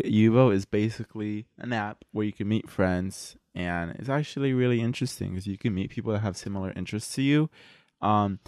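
A young man with a fairly low voice hosts a casual podcast conversation. His wording is informal and slangy. The sound is dry, with very little room. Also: a little mellow, no room sound. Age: young adult. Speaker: podcast host. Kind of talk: casual chat.

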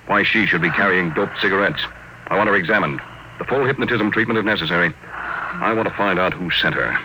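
Actor as a deep, commanding radio drama boss. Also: male voice, lightly acted. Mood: grim, brisk. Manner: brisk, probing, authoritative. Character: shrewd, commanding male boss, cool and fearless. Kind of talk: old radio drama acting. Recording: faintly noisy old radio broadcast.

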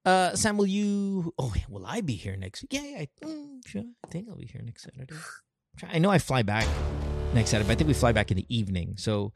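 An animated podcast host chatting casually and swearing freely. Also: mid-range voice, brisk, gravelly, soft background music. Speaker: talk show host.

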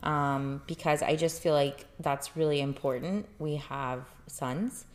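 A woman in her thirties with a low-pitched voice co-hosts a casual podcast. She teases and giggles a lot, filling her talk with "like" and "um.". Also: measured pace, darkish tone. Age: 30s. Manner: teasing, giggly, lots of fillers. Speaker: podcast co-host. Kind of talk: casual chat.

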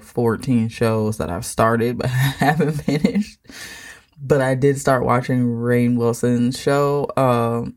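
A woman's voice: very deep voice, warm tone. An American woman hosting a casual solo podcast, talking in an informal, rambling, conversational way. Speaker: podcast host.

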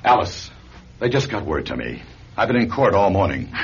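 A man acting a cold, calculating attorney in a radio drama, commanding and deliberate. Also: deep voice, big pitch swings. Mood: concerned. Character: cold, calculating male attorney, controlling schemer. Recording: dull, noisy radio recording.

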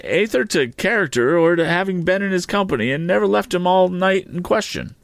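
A man's voice: sits high, animated, a little fast, gravelly, no room sound, voice pushed up. A man voicing a nervous man with a head cold who stammers and hesitates. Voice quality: stuffy, cold-ridden voice.

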